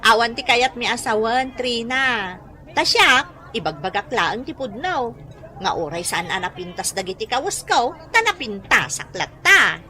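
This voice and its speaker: A woman's voice: on the high side, big pitch swings, unhurried, pushed and bright, full character voice. A woman acting a cheerful, vain customer proud of her looks in an Ilocano radio comedy drama, with an Ilocano accent. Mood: breezy, boastful.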